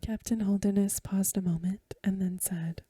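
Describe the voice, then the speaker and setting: A woman reading a story aloud in a soft, breathy, mostly whispered ASMR style, gentle and unhurried, close to the microphone. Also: mid-range voice, steady tone, no echo. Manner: gentle, unhurried, literary narration.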